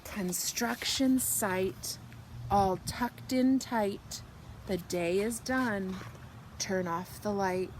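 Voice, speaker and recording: A woman reading a picture book aloud to young children, expressive and animated, drawing out her words and softening for bedtime lines. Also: mid-range voice, a little hiss or hum. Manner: slow, animated delivery with drawn-out words and hushed, whispered good nights. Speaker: children's storybook reader.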